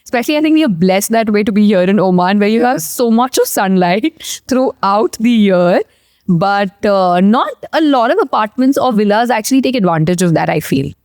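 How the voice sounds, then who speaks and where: A woman's voice: mid-range voice, animated, normal speed, darkish tone, no room sound, clean studio sound. A woman hosting a conversational interview, asking inquisitive follow-up questions. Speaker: interview host.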